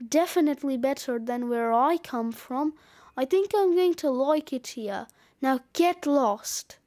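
A woman voicing a grumpy, cheeky male snowman in a children's audiobook, gruff and slangy. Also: sits high, animated, unhurried, a little mellow, no room sound. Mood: smug, bossy. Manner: slangy, brash, dismissive.

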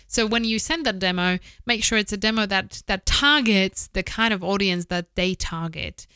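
A woman host gives casual, practical advice in a bright, forward voice. Her sound is exceptionally clean and resonant. She pauses to think and repeats words for emphasis, close on the mic in a dry small office. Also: mid-range voice, clear, resonant tone, dry recording. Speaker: host, voice-over producer. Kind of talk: casual instructional podcast talk to camera. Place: small home office, indoors. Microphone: close studio microphone with foam windscreen, headphones.

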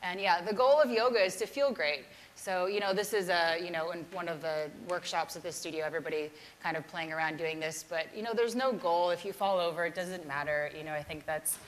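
A woman's voice: fairly low pitch, brisk, slight background noise. A woman yoga teacher giving a casual keynote talk with a live demonstration, chatty and self-deprecating.

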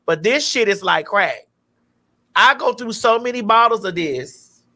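A woman's voice: medium pitch, animated, measured pace, bright, forceful tone. A middle-aged woman hosting a livestream, chatting in a blunt, playful, profane casual way. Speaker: talk show host. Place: indoor studio livestream. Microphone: studio mic on stand, close.

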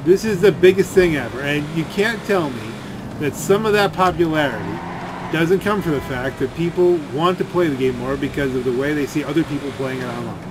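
A man's voice: a little high, a little fast. A man rambling through off-the-cuff gameplay commentary in a grumbling, sardonic way. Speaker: gaming video creator. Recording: clear game vehicle and gunfire noise. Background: video game driving and shooting sounds.

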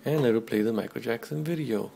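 Low gravelly guy showing off an app, loose and jokey, filmed on a handheld camera with a little noise. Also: a little fast. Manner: offhand, joking, says commands loud to the device. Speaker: tech video host. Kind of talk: casual product demo. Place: small room at a desk.

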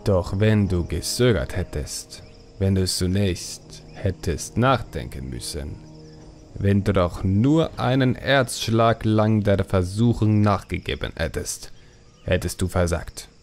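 A man voicing an ancient, deep, gravelly spirit. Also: animated, a little mellow, faint music behind, exaggerated voice. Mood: solemn, testing. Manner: slow, weighty delivery. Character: ancient, mighty male spirit, solemn and testing.

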